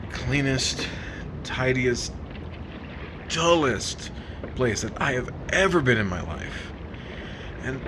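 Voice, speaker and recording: Male, acting weary married man for 1950s-style radio drama; slow, hesitant, mid-pitched, noisy ambience behind. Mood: bitter, weary. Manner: halting, hesitant delivery in short phrases.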